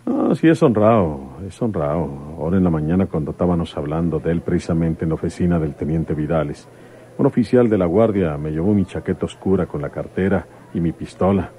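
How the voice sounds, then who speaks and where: An actor playing a flirtatious, folksy rural detective in a radio soap, with a Mexican accent and a colloquial, coaxing manner. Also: male voice, low-pitched, a little fast, mellow, natural voice. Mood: chatty, aggrieved. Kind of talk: Spanish-language radio soap acting.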